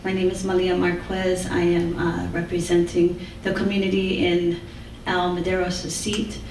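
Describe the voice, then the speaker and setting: Woman rep introducing herself, lowish flat voice, far mic, noisy echoey room. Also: moderate pace. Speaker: community representative. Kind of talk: formal self-introduction.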